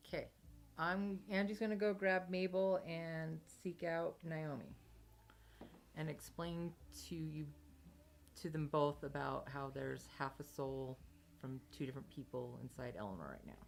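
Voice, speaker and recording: A woman's voice: deep voice, steady tone, unhurried, gravelly, soft background music. Woman chatting casually at a game table.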